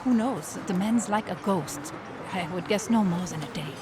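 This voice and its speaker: A woman acting a guarded, measured underground contact in a Cold War video game cutscene. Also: mid-range voice, natural voice. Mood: guarded, grave. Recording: loud background noise.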